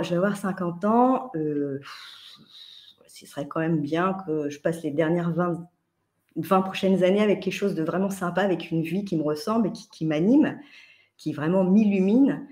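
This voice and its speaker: A woman in her fifties from France, a podcast guest telling travel stories in a lively, animated way with many restarts and fillers. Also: fairly low pitch. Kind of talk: casual podcast chat.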